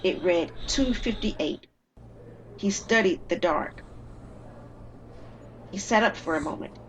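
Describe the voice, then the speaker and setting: A woman storyteller reading a scripted dramatic tale with measured, suspenseful phrasing. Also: mid-range voice, a little hiss or hum, poor audio. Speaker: storyteller and performer.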